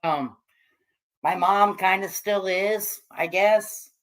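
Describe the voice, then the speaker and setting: A middle-aged or older American woman hosting a casual livestream, chatting with viewers in a salty, slangy, irreverent way on the slightly low side. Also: fairly even intonation, slow. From United States, raised among hippies. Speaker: livestream host reading and answering chat. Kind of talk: casual livestream chat, joking commentary.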